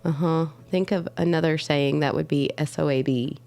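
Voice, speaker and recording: A woman co-hosting a casual live-streamed show, tired and with her voice lower than usual, making dry teasing remarks. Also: steady tone, moderate pace, darkish tone, no echo. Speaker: co-host.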